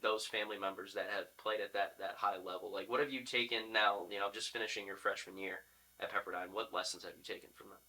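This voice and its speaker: An American man with an extremely bright, forceful voice on the lower side, talking quickly. He hosts a sports chat in an informal, affirming, buddy-like way. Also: fairly even intonation, low-quality recording. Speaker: podcast host, interviewer. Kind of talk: casual sports interview.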